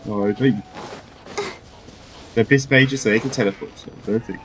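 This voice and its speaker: A man's voice: medium pitch, steady tone, moderate pace, fairly bright tone, low-quality recording. A man giving casual commentary as he plays a video game. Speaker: gamer. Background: game music.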